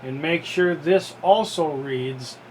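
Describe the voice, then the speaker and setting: A man with a slightly high-pitched voice presents a casual how-to. He speaks very slowly and haltingly, explaining step by step. A faint air-conditioning hum sits behind his voice. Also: poor audio. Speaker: unboxing video presenter.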